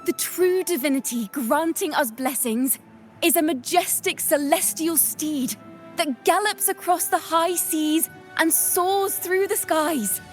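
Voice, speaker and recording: A woman voicing a young female bard in a video game, defiant and playful, telling her tales in a theatrical, poetic manner. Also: very high voice. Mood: solemn, stirring. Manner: theatrical, measured, poetic delivery. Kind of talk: dramatic storytelling in game voice acting. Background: music.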